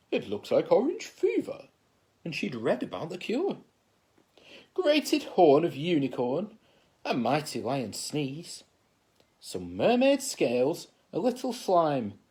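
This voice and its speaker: A man reading a children's story aloud as a teacher would, in expressive narration. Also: on the high side. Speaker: assistant head teacher reading a bedtime story.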